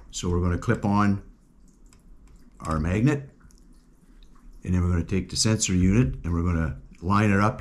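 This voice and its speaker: Deep-voiced man explaining a workbench project. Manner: plain, matter-of-fact explaining with fillers. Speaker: outdoors channel host. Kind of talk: casual how-to demonstration. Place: indoors at a workbench.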